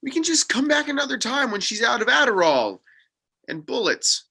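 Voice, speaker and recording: A performer plays a reluctant young man dragged along in a drama table read. The voice is sharp and forceful with animated swings. He sounds skeptical and exasperated. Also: medium pitch, moderate pace, vocal fry, husky, heavily acted.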